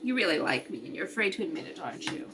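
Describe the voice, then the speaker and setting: A woman's voice: lowish voice, big pitch swings, rapid-fire, pitched below the performer's own voice. A woman plays a haughty young society lady from a 1930s radio comedy. She teases coolly and insinuates with coy poise. The home recording carries faint noise. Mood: coy, needling.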